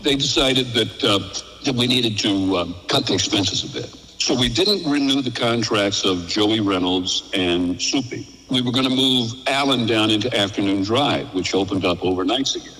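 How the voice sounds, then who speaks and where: Older man telling stories, bright and reverberant. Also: fairly low pitch, normal speed. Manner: animated retelling, acting out quoted exchanges. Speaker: interview guest, former radio station programmer. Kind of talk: casual anecdotal storytelling.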